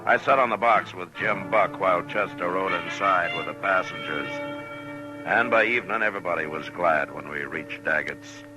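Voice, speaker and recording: A low-pitched, steady lawman of the Old West, played by a male actor in a vintage radio drama. He speaks in measured, laconic phrases with a watchful, probing edge. Music runs in the old recording. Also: close to an everyday voice, rough recording. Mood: measured narrating. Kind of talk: old-time radio western drama, partly first-person narration.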